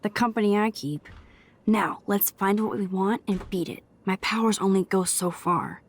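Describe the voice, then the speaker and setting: A woman's voice: medium pitch, moderate pace, close to an everyday voice. A young American woman playing a wry, nerdy, curious student girl in a video game, with a quippy, musing delivery. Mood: playful. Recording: music behind the voice.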